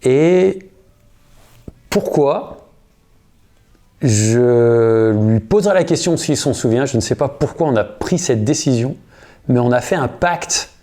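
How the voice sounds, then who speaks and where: A man in his thirties, a guitarist and singer, telling a story in a casual interview. He uses a lot of slang and often starts over or pauses with fillers. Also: mid-range voice. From United States. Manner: relaxed, slangy, many fillers and restarts.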